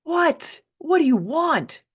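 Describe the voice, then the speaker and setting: A woman voicing a harsh, impatient, dismissive mother in an audiobook, loud and cutting. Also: high voice, sing-song, slow pace, pitched above the performer's own voice, heavily acted. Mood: angry, dismissive. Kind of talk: acted audiobook dialogue.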